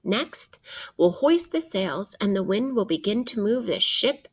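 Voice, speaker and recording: A woman teacher reading a children's nonfiction book aloud in an expressive, instructive way, addressing young listeners directly. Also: mid-range voice, measured pace, breathy edge.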